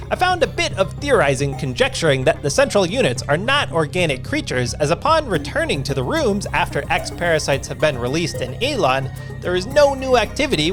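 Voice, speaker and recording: Male gaming voice-over host, high and animated, scripted but casual, music bed loud behind him. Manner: lively, self-deprecating, casual asides.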